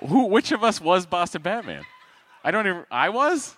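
A man co-hosting a live comedy podcast on a theater stage, joking crudely and deadpan into a close mic. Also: sits high, normal speed, a faint crowd, a little hiss or hum. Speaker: podcast co-host.

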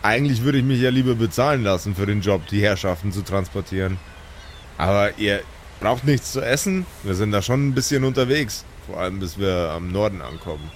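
A man's voice: lowish voice, expressive, fairly quick, slight background noise. A man improvising as an easygoing boat skipper in a tabletop game, casual and slangy. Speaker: player voicing a small-boat skipper. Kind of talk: improvised roleplay and scene description.

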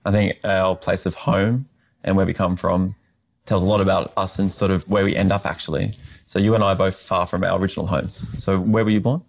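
An Australian man interviewing a guest, asking slow, thoughtful questions and murmuring along as he listens. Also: sits low, fairly even intonation, gravelly. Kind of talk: conversational interview. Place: conference venue.

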